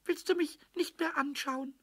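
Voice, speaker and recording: High, plaintive little kobold. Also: male voice, fairly even intonation, normal speed, fairly bright tone. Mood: remorseful, pleading. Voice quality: high, squeaky voice. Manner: stammering, repeating, plaintive. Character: small impish male kobold, childlike, pleading and emotional. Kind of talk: German children's radio play acting.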